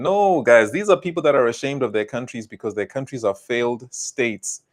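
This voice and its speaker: A South African man delivering heated political commentary, scolding and repeating blunt warnings to his viewers. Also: medium pitch. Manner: emphatic, scolding, repetitive warnings.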